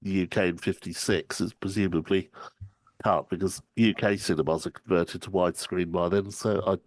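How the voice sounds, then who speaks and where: A man's voice: deep voice, steady tone, normal speed, dry recording. A man from the UK making tentative, casual comments and questions in an online discussion. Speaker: webinar audience member.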